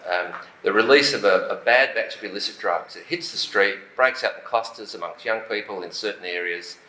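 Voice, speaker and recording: A man's voice: on the low side, sharp tone. An Australian man on a conference panel, answering emphatically and dismissing critics. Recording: echoey hall, faint noise.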